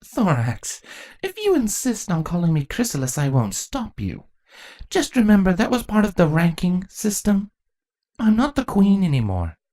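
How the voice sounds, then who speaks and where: A man voicing a weary former changeling queen, female, who speaks in a dry, measured, firm way for a storybook reading. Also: high voice, wildly swooping pitch, mellow, pitched above the performer's own voice. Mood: gentle, resigned.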